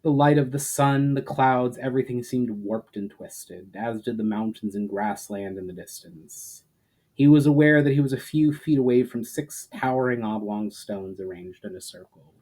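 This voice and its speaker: A man reading fantasy fiction aloud as a dramatic narrator, in a measured delivery with the odd stumble. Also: mid-range voice, a little mellow, rough recording. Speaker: author reading own story.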